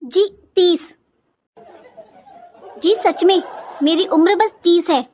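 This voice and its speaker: A woman's voice: extremely high pitch, slow pace, a little mellow, lo-fi sound, a faint crowd, a little hiss or hum. A woman voicing a naively confident thirty-year-old working wife in a cartoon comedy skit.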